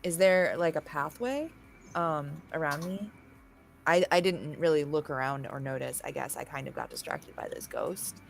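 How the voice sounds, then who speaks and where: A woman's voice: low voice, expressive, moderate pace, gravelly, slight background noise. A woman chatting casually as a player in a role-playing game, questioning and thinking aloud. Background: music in the background.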